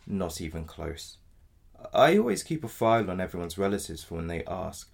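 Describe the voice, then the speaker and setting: Man acting as low, gravelly, matter-of-fact solicitor. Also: sing-song, heavily acted. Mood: firm, matter-of-fact. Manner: measured, matter-of-fact, formally polite. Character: apologetic but blunt male defence solicitor in dystopian future. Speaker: actor. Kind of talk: audio drama dialogue.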